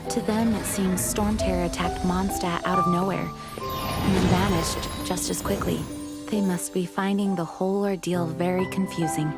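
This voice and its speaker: A woman voicing a calm female knight leader, formally recounting events in a video game, with music underneath. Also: fairly low pitch, normal speed, natural voice. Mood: composed. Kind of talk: narrated report in a video game.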